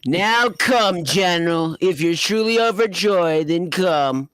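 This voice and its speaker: An imperious space-opera villain, put on by a man reading fan fiction, speaks in a raised pitch with haughty command. The sound is close and dry, suited to a tongue-in-cheek story. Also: animated, slow, fairly bright tone, clear, resonant tone, dry recording, full character voice.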